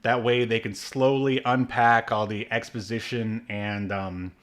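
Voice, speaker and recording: A man's voice: mid-range voice, level tone, unhurried, a bit bright. A man co-hosting a podcast, rambling along with lots of ums and likes and laughing often. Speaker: podcast co-host. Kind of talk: casual chat.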